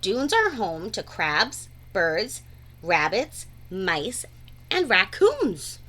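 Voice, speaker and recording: A woman reading a rhyming children's picture book aloud in an expressive, deliberate, sing-song way. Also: mid-range voice. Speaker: read-aloud storyteller.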